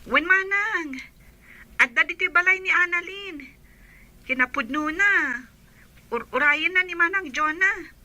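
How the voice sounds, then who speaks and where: A woman's voice: very high voice, a little slow, pauses often, sharp tone, exaggerated voice. A woman with a Filipino accent playing a polite younger sister in a radio drama. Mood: polite, eager.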